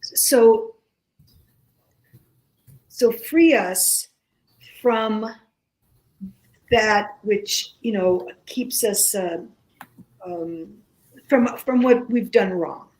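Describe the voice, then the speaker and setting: A woman whose first language is Greek, speaking as a guest in a casual radio conversation, slow and halting with many pauses and restarts. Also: medium pitch, darkish tone, low-quality recording.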